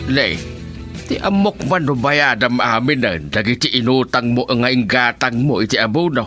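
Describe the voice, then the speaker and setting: Old rural grandfather, Ilocano accent, radio drama. Stern yet caring, deliberate, with a sharp edge. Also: mid-range voice, lively intonation, faint music behind. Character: elderly village father and grandfather, stern but caring.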